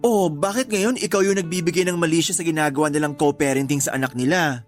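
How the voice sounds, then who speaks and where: A man's voice: on the high side, quick pace, faint music behind, natural voice. A man playing a frank, scolding confidant in a radio drama, with a blunt questioning manner. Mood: exasperated. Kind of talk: Tagalog radio drama acting.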